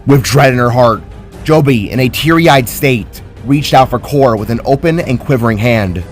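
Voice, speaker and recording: A man's voice: medium pitch, moderate pace, soft background music. A man reading a scripted fantasy story as a dramatic narrator.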